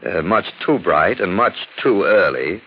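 A man's voice: deep voice, lively intonation, a little slow, husky, exaggerated voice. An actor playing an urbane criminologist, narrating his case with wry, theatrical polish in 1940s radio mystery style. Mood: wry, droll. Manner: suave, wry, theatrical storyteller. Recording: dull 1940s radio recording.

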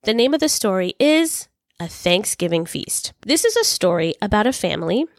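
A woman hosting a podcast English lesson, speaking clearly and patiently while recovering from a cold. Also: medium pitch, sing-song, moderate pace, dry recording, studio quality. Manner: clear, patient teaching delivery. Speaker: English teacher and podcast host.

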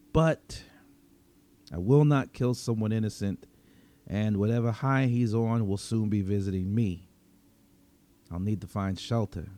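A man narrating a dramatic story in the first person as a cynical, wry, menacing vigilante vampire, with sardonic, slangy delivery and dramatic pauses. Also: lowish voice, warm tone, close to an everyday voice. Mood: bitter resolve.

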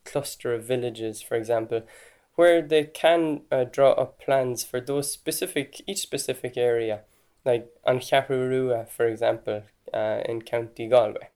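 A man's voice: mid-range voice, steady tone, measured pace, a little mellow, clean tone. A man with an Irish accent hosting a podcast, explaining things in a conversational way with casual asides. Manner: conversational, chatty asides with "like" and "right?". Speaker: podcast host.

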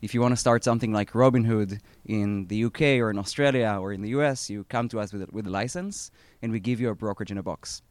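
A man in his thirties with an Israeli accent speaks as a founder at a live event, pausing often with fillers and restarting his phrases. His voice is smooth and clear, with a dry, close sound. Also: fairly low pitch, no echo. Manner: halting, many fillers and restarts. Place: outdoor startup event.

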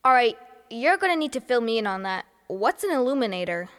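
A woman's voice: fairly high pitch, very animated, fairly quick, a bit bright, big-room sound. A woman voicing a bold, confident young girl with an upbeat, bossy way of talking.

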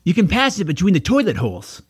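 A man voicing an awkward boy bully with a high, raspy voice in a children's audiobook. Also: huge pitch swings, quick pace, dry recording, clean studio sound, heavily acted. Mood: defensive.

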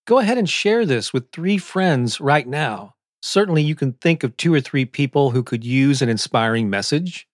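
A man reading scripted motivational affirmations as a podcast host, in a deliberate, encouraging manner. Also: medium pitch, expressive. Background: new-age music.

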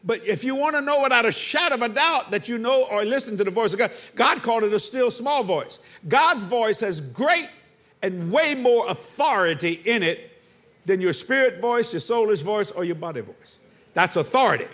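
Preacher man, high bright voice, call-and-response sermon, quizzes the congregation. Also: lively intonation, normal speed. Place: church.

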